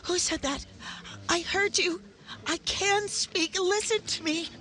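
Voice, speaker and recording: A woman's voice: extremely high pitch, slow pace, pushed and bright, heavily acted. A woman playing a young bride-to-be in a 1930s-style radio horror drama, with theatrical, emotional delivery. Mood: desperate, pleading. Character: young bride-to-be, playful, then desperate while presumed dead.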